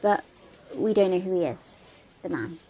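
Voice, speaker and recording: A woman's voice: lowish voice, lively intonation, normal speed, warm tone. A woman playing an earnest police investigation assistant in an audio drama, reporting in a conversational way. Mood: earnest, speculative.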